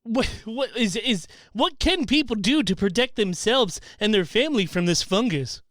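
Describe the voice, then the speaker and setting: Guy doing a super high pitched news interviewer, swoopy, earnest n formal, comedy sketch. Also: animated, fairly bright tone, no room sound, studio quality. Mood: politely puzzled. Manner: formal, measured questioning. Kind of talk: comic mock news interview.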